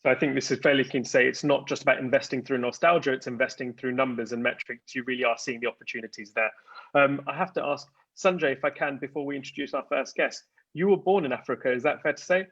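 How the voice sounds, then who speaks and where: A man's voice: medium pitch, fairly even intonation, fast, a bit bright, slightly rough, no echo, muffled recording. A man hosting an online panel, politely reading and paraphrasing audience questions. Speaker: panel host.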